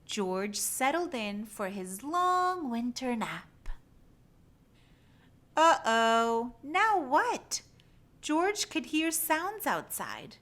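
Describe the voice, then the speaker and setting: A woman reading a children's storybook aloud in an expressive, animated storytelling style. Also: high-pitched, very slow. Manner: animated, acts out animal sounds and exclamations.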